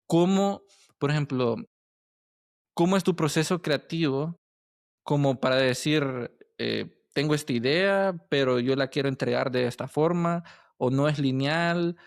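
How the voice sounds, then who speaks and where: A man's voice: medium pitch, creaky, no room sound, studio quality. A man hosting a conversational podcast interview, feeling his way through sentences and checking in with tag questions. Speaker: podcast host interviewing a guest.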